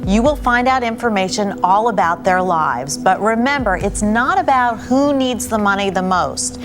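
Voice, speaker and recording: A woman's voice: medium pitch, animated, moderate pace. A woman hosting a game show, presenting to and questioning a panel in scripted style. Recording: music bed behind the voice.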